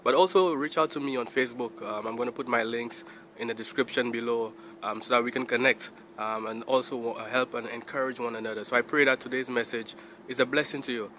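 A man's voice: medium pitch, fairly even intonation, a little fast, bright, forceful tone. A young adult man hosting Bible study videos, talking casually and directly to viewers from outdoors. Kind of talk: casual direct-to-camera vlog greeting. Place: outdoors beside a building. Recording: outdoor traffic and ambient noise.

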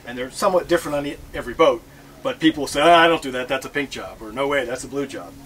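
A middle-aged man giving a relaxed, joking talk about sailing to an audience, standing aboard a catamaran in a marina with notes in hand. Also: mid-range voice, fast, slight background noise. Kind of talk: casual presentation to an audience. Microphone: far camera.

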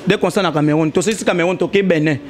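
A man's voice: high voice, fast talker, a crowd in the background. A young man in a heated casual debate, talking animatedly and pressing his points, recorded on a handheld mic in a small indoor studio. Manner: animated, argues with rising emphasis, mixes French and Lingala. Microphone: handheld mic held toward him.

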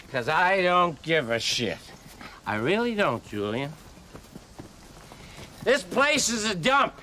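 An actor playing a drunk, rambling middle-aged ex-supervisor outdoors at a trailer park picnic, with slurred, drawling speech. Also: male voice, sits high, pushed and bright, slight background noise, exaggerated voice. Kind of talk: mockumentary comedy acting.

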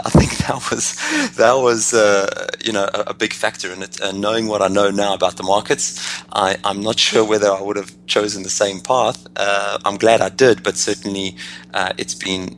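A man's voice: on the low side, vocal fry, fairly bright tone, a little hiss or hum, rough recording. A man in his thirties with a South African accent, answering interview questions analytically with many restarts and fillers. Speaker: guest trader.

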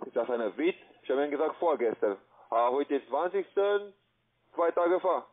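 A man's voice: on the high side, normal speed. Foreign-accented man on a tinny phone line. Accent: foreign accent in German. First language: not German. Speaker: call centre agent. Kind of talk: phone sales call.

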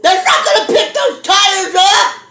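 A woman ranting loudly to viewers in a dramatic, exasperated, foul-mouthed way, telling a story with heavy emphasis. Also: very high voice, animated, unhurried. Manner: shouting, emphatic. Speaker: video host ranting to viewers. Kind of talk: loud comic rant, storytelling to camera. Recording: echoey room sound.

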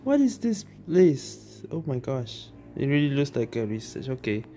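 A woman's voice: very deep voice, big pitch swings, moderate pace, dark tone. A woman giving casual gameplay commentary, musing aloud in hesitant phrases. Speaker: gaming streamer. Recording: game music behind commentary.

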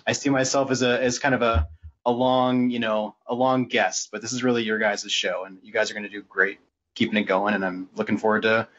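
A man co-hosting a podcast in casual chat, speaking in a halting, self-effacing way. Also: lowish voice, level tone, fairly bright tone. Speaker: podcast co-host. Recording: dull lo-fi sound.

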